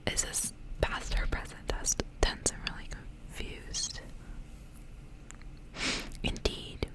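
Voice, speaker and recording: A woman speaking in a breathy, unvoiced whisper, in the intimate style of an ASMR reading. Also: takes it slowly. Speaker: ASMR creator reading stories aloud. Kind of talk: whispered ASMR storybook reading with casual asides.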